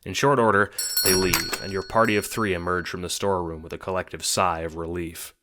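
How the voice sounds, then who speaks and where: A man's voice: low-pitched, fairly even intonation, a little fast, bright, forceful tone. A man narrating a scripted audio drama in the second person.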